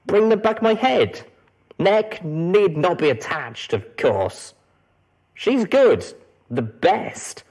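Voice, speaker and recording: A performer voicing a weary, defiant, cocky female pegasus hero with a husky voice in a storybook reading. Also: medium pitch, big pitch swings, slow, darkish tone, voice pushed up, full character voice. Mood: bitter, defiant.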